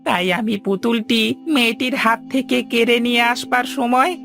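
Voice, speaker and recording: A woman's voice: fairly high pitch, steady tone, moderate pace, a bit bright, faint music behind. A woman voicing an obedient but sly male servant in a cartoon, deferential and scheming. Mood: anxious. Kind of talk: cartoon voice acting.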